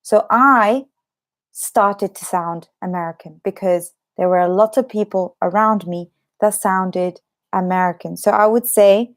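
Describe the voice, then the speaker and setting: A young British woman with a mid-range voice teaching a live English lesson, unhurried and chatty. She repeats each phrase so learners can follow and fills her pauses with "um". A slight cough lingers in her voice. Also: medium pitch. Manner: chatty, explains slowly with repetition and many fillers.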